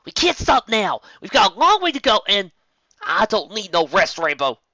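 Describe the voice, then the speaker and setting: A man's voice: high voice, wildly swooping pitch, moderate pace, sharp tone, no room sound, dull audio, pitched above the performer's own voice, exaggerated voice. A man voicing a brash, impatient young female flying pony in a storybook reading.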